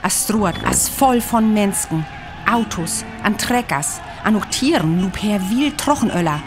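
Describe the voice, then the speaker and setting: A woman's voice: mid-range voice, lively intonation, unhurried, natural voice. Woman acting a grave radio reporter over music. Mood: anxious reporting. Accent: North Frisian. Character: grave female radio reporter witnessing a Martian invasion. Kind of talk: radio drama live report.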